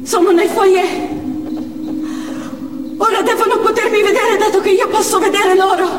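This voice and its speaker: A woman acting a desperate, resolved young female martyr in a theatrical stage monologue, with slow, declamatory phrasing. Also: very high-pitched, fairly even intonation, distant mic. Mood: tearful exaltation. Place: theatre stage, picked up from a distance. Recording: echoey theatre hall from afar, loud noise.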